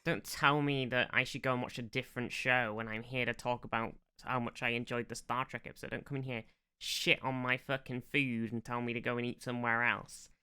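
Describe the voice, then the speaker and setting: Very bright, clear-voiced man, blunt and casual. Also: mid-range voice, little pitch movement, brisk. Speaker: podcast host. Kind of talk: casual chat.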